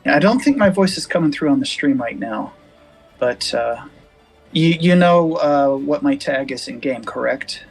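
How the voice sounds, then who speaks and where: A man with a soft, gentle voice, chatting casually over voice chat with a streamer. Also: medium pitch, expressive, a little slow, creaky, slightly rough, faint music behind. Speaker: guest gamer.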